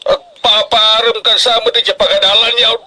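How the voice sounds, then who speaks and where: A man's voice: high-pitched, level tone, moderate pace, over a noisy crowd, lightly acted. A man playing a strict, worrying middle-aged father in an Ilocano radio drama, with an emphatic, scolding manner. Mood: stern, scolding. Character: strict, worrying Filipino father of a student daughter.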